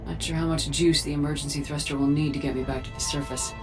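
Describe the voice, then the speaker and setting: A woman's voice: deep voice, fairly even intonation, fast talker, over music, natural voice. A woman playing a resolute, controlled military space commander in a video game, giving terse, matter-of-fact status reports. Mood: wary, controlled. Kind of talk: video game cutscene acting.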